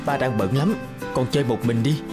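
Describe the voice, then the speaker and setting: A man's voice: mid-range voice, fairly quick, music in the background, natural voice. A man playing a busy middle-aged father in a cartoon, speaking in a placating, fatherly way. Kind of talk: cartoon voice acting.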